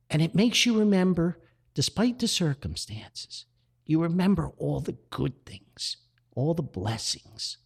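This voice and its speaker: A man hosting a personal podcast, talking reflectively and earnestly with drawn-out emphasis. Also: on the high side, gravelly, husky. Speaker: podcast host.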